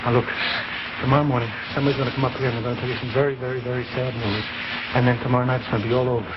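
An actor in a 1950s television drama playing a scheming, impatient husband who insistently repeats himself to a frightened partner. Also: male voice, mid-range voice, flat delivery, rapid-fire, close to an everyday voice. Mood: pressing, hushing. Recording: noisy muffled 1950s TV broadcast.

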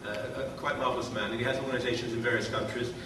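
A man's voice: mid-range voice, steady tone, rapid-fire. Wry man in his fifties, echoey hall. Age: 50s. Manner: wry, self-deprecating, hesitant with fillers. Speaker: panel host. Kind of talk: joking panel introductions. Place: large lecture hall. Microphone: table mic, distant camera. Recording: echoey hall from far off, noisy.

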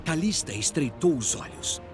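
A male narrator with a Brazilian accent reads a dramatic story. His slightly high voice moves expressively up and down, with music clearly behind it. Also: big pitch swings, moderate pace.

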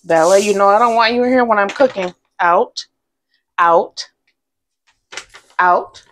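A woman in her thirties talks through a home cooking session at an unhurried, slow pace. Her tone is relaxed and rambling, close on a clip-on mic in a small kitchen, with a faint hiss behind her. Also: medium pitch. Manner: relaxed, rambling, laughs to herself. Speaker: vlogger. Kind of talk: casual cook-along chat. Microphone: clip-on lapel mic.

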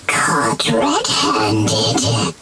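An actor voicing a gloating, petulant mage creature in a video game, theatrical and scheming, with drawn-out delivery. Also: mid-range voice, fairly bright tone, poor audio. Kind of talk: video game villain acting. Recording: music behind the voice.

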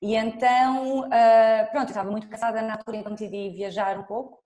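A woman in her thirties with a European Portuguese accent talks about her work over a laptop webcam. Her voice sits a little high, and she pauses often to think. The sound carries a roomy echo from the lab room she is in. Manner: relaxed, thoughtful, with frequent pauses and fillers. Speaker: scientist guest. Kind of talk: casual interview over video call. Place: lab room with dark wall, indoors. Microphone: laptop webcam on video call. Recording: roomy echo on a video call.

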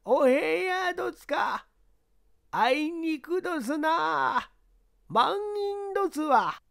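An elderly Kyoto innkeeper woman, speaks high and slowly. Her drawn-out, singsong politeness is evasive, with a storybook lilt. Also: animated, creaky, fairly bright tone, heavily acted. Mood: polite, apologetic. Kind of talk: audiobook character acting.

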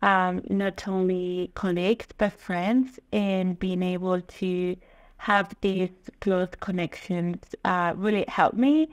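A woman from Spain with a Spanish accent, a guest on a video call interview, speaking thoughtfully with many pauses and ums. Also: lowish voice, fairly even intonation. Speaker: guest, learning engineer. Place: home room, indoors. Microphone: video call webcam.

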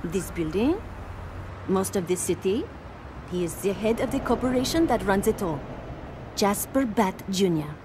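A woman's voice: medium pitch, expressive, normal speed, pauses often, noisy background, lightly acted. A woman voicing a cool, sly blonde handler of an assassin contest in a video game cutscene. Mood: cool, teasing. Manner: coolly detached, sly.

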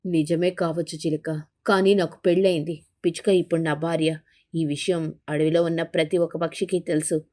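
A low-voiced cartoon crow husband played by a woman, earnest and reluctant. He sounds worried and hesitant, as in an animated fable. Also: normal speed, lightly acted. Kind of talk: animated bird fable dialogue.

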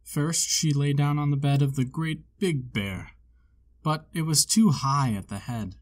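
Higher-voiced man reading a storybook. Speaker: storybook reader. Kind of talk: children's storybook read-aloud.

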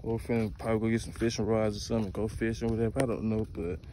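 A young man vlogging casually outdoors on a lake, talking in slang with excitable, nervous laughter into a phone held close. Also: fairly low pitch, racing, noisy background. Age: young adult. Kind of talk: casual vlog chat. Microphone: phone camera held close.

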